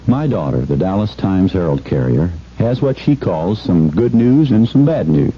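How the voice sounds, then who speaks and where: An American man reading a scripted, conversational 1970s radio commercial. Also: fairly low pitch. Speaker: commercial spokesman. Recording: dull, hissy vintage radio broadcast.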